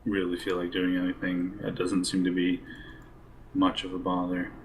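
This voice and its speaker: A man's voice: deep voice, completely flat delivery, moderate pace, slight background noise, poor audio. A man talking casually and cautiously as a player in a group role-playing game. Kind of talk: casual in-character group planning. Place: online voice session for streamed role-play game.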